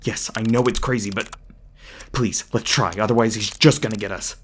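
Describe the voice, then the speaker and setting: A man's voice: on the low side, steady tone, noisy background, close to an everyday voice. Man playing an anxious, earnest young male hunter. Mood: frantic. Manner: earnest, urgent, halting. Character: edgy, anxious young male hunter, protective and foul-mouthed. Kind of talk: audio roleplay acting.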